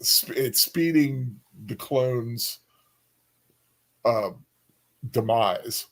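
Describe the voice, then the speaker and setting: Guy co-hosting a chat, medium pitch, kind of tentative, feels around for his words. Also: extremely slow. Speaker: co-host. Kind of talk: casual discussion.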